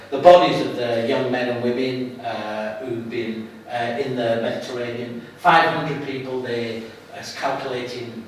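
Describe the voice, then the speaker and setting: A middle-aged man with a Lancashire accent giving an earnest public talk to an audience, restarting his sentences often. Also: medium pitch. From England, Lancashire. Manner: earnest, halting with frequent restarts. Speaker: guest speaker. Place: hall at an evening event. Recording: echoey hall from far off, faint hiss.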